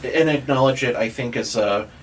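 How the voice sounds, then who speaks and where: A man's voice: medium pitch, moderate pace, slight background noise. A man with an American accent hosting a podcast in casual, rambling chat peppered with "like". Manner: informal, rambling, filler-heavy with "like". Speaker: podcast host.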